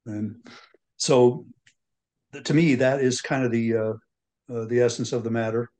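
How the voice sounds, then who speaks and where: Halting man with many pauses. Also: mid-range voice, steady tone, darkish tone. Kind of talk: reflective discussion.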